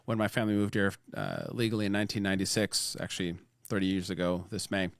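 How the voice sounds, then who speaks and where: Man, 30s, from Lebanon, pollster guest, low smooth voice, dry close sound. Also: fast, no room sound. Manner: earnest, steady storytelling with fillers. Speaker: political strategist and pollster, guest. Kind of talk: interview answers.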